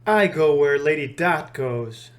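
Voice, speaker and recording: Formal old-style knight, chivalrous and animated, put on by a woman. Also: low voice, slow pace, pitched below the performer's own voice. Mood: courtly. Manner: formal, courtly.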